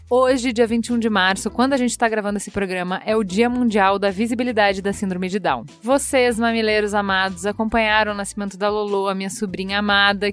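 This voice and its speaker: A woman, hosting a podcast and reading a scripted intro and sponsor message with affectionate address to listeners. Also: mid-range voice. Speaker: podcast host.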